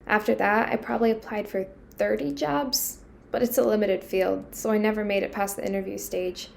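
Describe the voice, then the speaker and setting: Anxious submarine crewwoman played by a woman, creaky voice. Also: mid-range voice, fairly even intonation, moderate pace, darkish tone, a little hiss or hum, natural voice. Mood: worried. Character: earnest young submarine crew member, anxious, pleading. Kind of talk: amateur audio drama acting.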